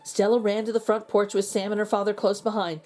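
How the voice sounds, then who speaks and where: A woman's voice: mid-range voice, little pitch movement, brisk, dark tone, soft background music. A woman reading a children's storybook aloud as host, narrating expressively for young listeners.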